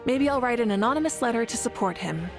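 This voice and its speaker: Game casting: confident young female fire archon, warm and assured, slightly high voice, music underneath. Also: lively intonation, fast talker. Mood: disappointed. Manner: poised, earnest, assured. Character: Mavuika, confident warm warrior archon of fire, woman leader. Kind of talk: video game character voice lines.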